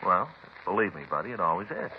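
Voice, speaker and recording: A muffled old radio recording with some hiss of a folksy American cop. His low, gravelly voice rambles along, plaintive and aggrieved, in 1950s radio drama style. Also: sing-song, fast. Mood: chatty, rambling. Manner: folksy, long-winded, plaintive. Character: fretful, rambling married male police detective, aggrieved sidekick.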